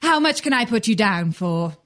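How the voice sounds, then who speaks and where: Lady playing a meek nice polite chap, high voice, really up and down, kids audiobook acting. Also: lively intonation, normal speed, fairly bright tone. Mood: polite, earnest. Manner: polite, hesitant, earnest. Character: meek, polite, cheerful male clerks and well-wishers. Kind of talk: children's audiobook dramatisation.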